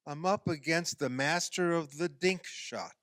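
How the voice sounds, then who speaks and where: A man acting in a radio play as a self-pitying, sarcastic amateur ball player who speaks in an exasperated, halting way. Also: a little high, fairly bright tone, no room sound, studio quality. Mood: teasing, grudging.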